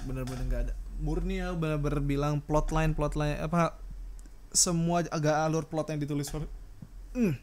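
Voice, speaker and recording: Indonesian-accented man, slightly high pitch, solo podcast host, unscripted rant, blunt, rambling, slangy. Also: steady tone, moderate pace, darkish tone. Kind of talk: unscripted casual ranting monologue.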